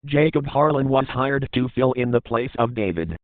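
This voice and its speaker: A man's voice: medium pitch, expressive, normal speed, dry recording, phone-quality sound. A man reading scripted horror narration in the manner of a documentary report.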